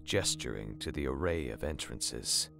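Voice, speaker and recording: A man narrating a dark horror story in a dramatic, scripted storytelling style. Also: a bass voice, expressive, a little slow, vocal fry. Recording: music bed under the narration.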